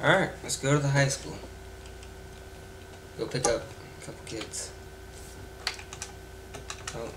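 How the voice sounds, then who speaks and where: A man's voice: mid-range voice, slow pace, pauses often, fairly bright tone. A young man with a cold, casually rambling through gameplay commentary with an offhand manner. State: congested from a cold. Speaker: gameplay video maker. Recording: noisy home mic with keyboard sounds.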